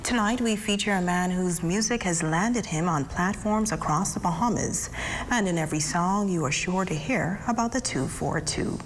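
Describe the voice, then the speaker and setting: A woman presenting scripted news as anchor, with a formal, even delivery. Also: on the low side, normal speed, slight background noise.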